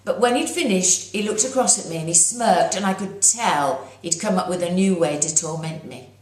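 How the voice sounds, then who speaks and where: A woman reading her own children's story aloud as its author, in an expressive narrating style. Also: on the low side, normal speed. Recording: roomy echo.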